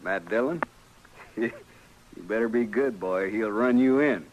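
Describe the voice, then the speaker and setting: An actor playing a folksy, plain-spoken frontier stable keeper in an old radio western, drawling in a rustic American accent. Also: male voice, on the low side, takes it slowly, dull audio. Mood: folksy, teasing. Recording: noisy old radio recording.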